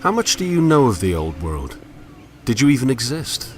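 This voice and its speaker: A man's voice: mid-range voice, big pitch swings, moderate pace, slight background noise, close to an everyday voice. A man playing a solitary, brooding wanderer who muses in formal, eloquent language in a scripted game monologue. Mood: brooding, intimate. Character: solitary, brooding male wanderer musing philosophically on a fallen world. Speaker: narrator. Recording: long hall reverb, music underneath. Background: music.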